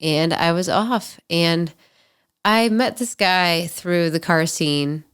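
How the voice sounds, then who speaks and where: A woman's voice: on the low side, takes it slowly, a bit bright, smooth. A woman in her twenties hosting a podcast, telling stories in a candid, chatty way. She sounds a little nasal and stuffy from allergies. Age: 20s. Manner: candid, chatty, frequent ums and likes. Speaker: podcast host. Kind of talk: casual solo storytelling.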